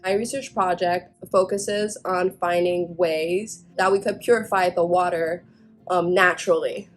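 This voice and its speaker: Earnest young woman student, lowish voice. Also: steady tone, a little slow, soft background music. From Haiti. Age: young adult. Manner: earnest, reflective, with fillers. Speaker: student researcher. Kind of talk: interview about research.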